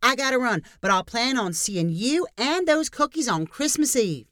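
A pushy, cheerful middle-aged neighbor woman, put on by a woman reading aloud, who bursts out loud and high with sweeping, lively swings. She gushes and steamrolls anyone in her way, and the reading sounds dry and close. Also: moderate pace, dry recording. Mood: gushing. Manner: loud, gushing, overbearing.

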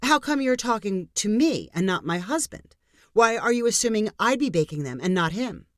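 A woman's voice: mid-range voice, lively intonation, moderate pace, fairly bright tone, dry recording, studio quality, pitched above the performer's own voice, natural voice. A woman voicing an irritated, guarded homeowner who is new in town and speaks curtly. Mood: irked. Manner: curt, annoyed.

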